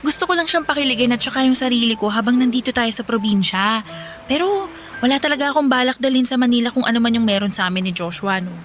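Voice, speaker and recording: Highish-voiced, sweet young city woman played by actress. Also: quick pace, fairly bright tone, lightly acted. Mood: teasing denial. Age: young adult. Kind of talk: Tagalog audio drama acting. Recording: music behind.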